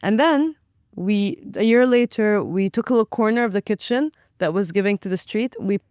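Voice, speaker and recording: A young woman from Lebanon tells her story as a restaurant owner and guest. She is chatty and animated, and she acts out her own thoughts as she goes. Her voice is smooth and clear in a dry, close recording. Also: medium pitch, normal speed, no echo. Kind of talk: casual interview.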